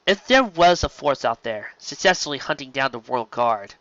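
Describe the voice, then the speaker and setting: An expressive narrator reading scripted story fiction into a close microphone, on a slightly dull home recording. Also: medium pitch, normal speed, no echo. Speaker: fan fiction audiobook narrator.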